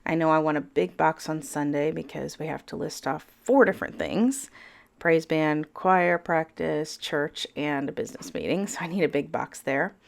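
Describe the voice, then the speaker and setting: A middle-aged woman with a froggy voice, chatting casually through a voiceover and rambling with self-deprecating chuckles. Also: sits low. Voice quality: froggy, gravelly voice. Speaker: planner vlogger. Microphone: voiceover mic.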